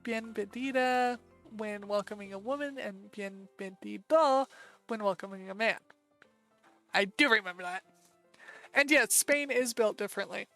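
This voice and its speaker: A woman with an American accent, casually chatting through a live stream and thinking aloud with quick asides. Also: mid-range voice, a bit bright, faint music behind. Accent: American English.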